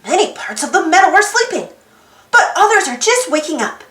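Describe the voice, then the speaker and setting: Very high female voice, children's read-aloud, sing-song delivery, hugely varied intonation, roomy echo. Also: brisk. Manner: expressive, sing-song, with simple questions to young listeners. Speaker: storybook reader.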